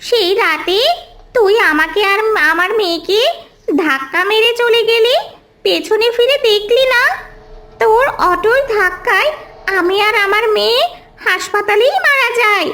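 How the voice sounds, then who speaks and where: Very shrill, vengeful ghost witch. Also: unhurried, sharp tone, full character voice. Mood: vengeful, menacing. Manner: menacing, taunting, scolding. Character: vengeful ghost witch, a mother killed with her daughter. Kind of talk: Bengali cartoon story voice acting.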